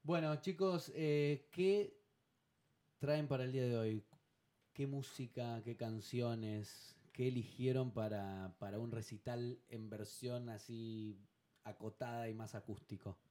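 A man's voice: mid-range voice, fairly even intonation, takes it slowly, dark tone. A man hosting a radio interview in a studio, asking questions in an upbeat, announcer-like way. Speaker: radio host. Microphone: studio microphone.

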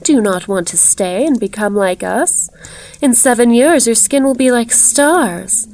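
Woman playing a high, cooing, eerie ghoul hostess. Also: expressive, measured pace, darkish tone, low-quality recording. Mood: eerily urging. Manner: ceremonious, sweetly cooing, plaintive. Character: ghoulish, glittering-skinned leprous woman, cooing sinister hostess.

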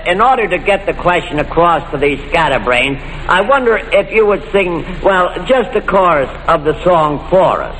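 A man's voice: high-pitched, animated, normal speed, few pauses, fairly bright tone. A man playing an exasperated, stammering older quizmaster in an old-time radio comedy. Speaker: quiz host. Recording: very noisy old radio recording.

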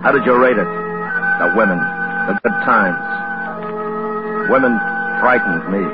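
A man's voice: fairly low pitch, unhurried, natural voice. An actor in a 1950s radio drama playing a bitter, envious spaceman facing death, intense and insistent. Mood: bitter envy. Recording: dull 1950s radio recording with music.

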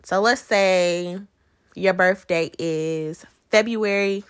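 A woman's voice: lowish voice, slow pace, clear, resonant tone. A woman hosting a podcast on her own, talking in a casual, conversational way and putting questions to her listeners. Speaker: podcast host. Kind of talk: casual solo podcast chat.

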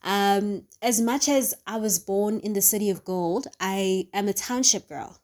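An upbeat South African woman hosts her own podcast in an easy, chatty way. She sits in a comfortable mid-range pitch and keeps checking in with the listener. Her pauses fill with "um", and she drops in local township slang. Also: a little mellow. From Soweto, Johannesburg, South Africa. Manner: chatty, upbeat, often asks "right?" and adds "um". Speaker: podcast host. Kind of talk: casual solo podcast chat.

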